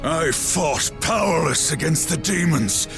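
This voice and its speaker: Indignant warrior, raised gravelly voice. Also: male voice, fairly high pitch, animated, unhurried, over music, full character voice. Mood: angry protest. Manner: impassioned, forceful. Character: indignant, defiant male warrior horseman on trial. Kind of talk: dramatic video game cutscene acting.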